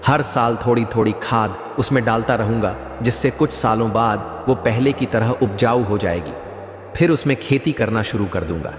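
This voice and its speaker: A man playing an earnest, worried farmer in a cartoon moral story, speaking in a deliberate, explanatory way. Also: fairly low pitch, natural voice. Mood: worried, pondering. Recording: echoey, long reverb.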